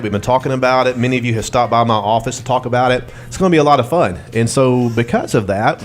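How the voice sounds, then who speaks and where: A man from Alabama hosting a casual chat show, joking and self-deprecating. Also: mid-range voice. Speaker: host.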